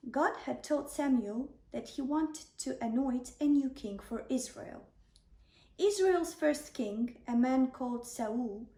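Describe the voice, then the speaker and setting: Female bedtime storyteller, high and mellow, gentle deliberate delivery, roomy sound. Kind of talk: children's bedtime story narration. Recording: roomy, distant microphone.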